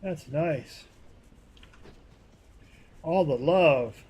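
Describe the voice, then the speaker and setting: A man's voice: drawn-out words, a little mellow, slight background noise. Man streaming a game, harsh voice. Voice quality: higher, harsher voice. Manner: rambling, many fillers and restarts. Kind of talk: casual live-stream chatter.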